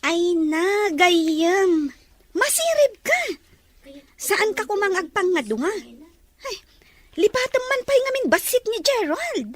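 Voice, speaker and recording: Woman playing a chatty teenage girl, Ilocano Filipino accent, very high swooping voice, teasing, hesitant, audio drama. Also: very animated, slow pace, dry recording, heavily acted. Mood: teasing, coy.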